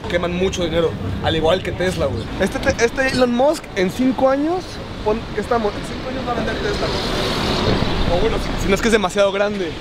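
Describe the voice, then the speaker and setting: A young man with a Mexican accent, chatting in a rapid, slangy and opinionated way. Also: high-pitched. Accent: Mexican Spanish. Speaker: friend. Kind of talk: casual chat. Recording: street noise.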